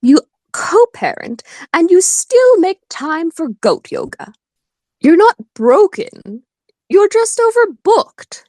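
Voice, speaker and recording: Woman acting a lively, unhurried, teasing lawyer. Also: very high-pitched, dry recording, exaggerated voice. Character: quick-witted woman lawyer, teasing and affectionate friend. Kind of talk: audio play acting.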